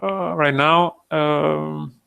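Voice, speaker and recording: Hesitant Croatian man with a gravelly voice. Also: fairly high pitch, fairly even intonation, bright, forceful tone. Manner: halting, many fillers and restarts. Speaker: digital sculpting streamer. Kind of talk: live tutorial talk. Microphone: close desktop mic, breathing audible.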